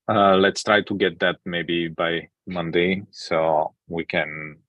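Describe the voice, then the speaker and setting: A man hosting an online project meeting, talking casually with many fillers and pauses, on a video call. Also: low-pitched, level tone, no echo, muffled recording. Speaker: meeting host, project maintainer. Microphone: computer mic on video call.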